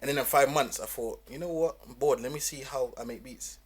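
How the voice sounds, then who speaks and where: Young male producer guest, breathy voice, quiet and plain-spoken in a podcast chat. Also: mid-range voice, a little fast. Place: small indoor podcast studio. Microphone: desk mic with headphones.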